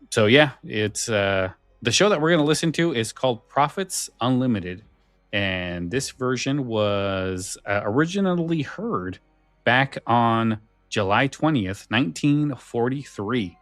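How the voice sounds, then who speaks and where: A man co-hosting a podcast in a casual, informal and somewhat halting way. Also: on the low side, fairly bright tone, dry recording. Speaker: podcast co-host.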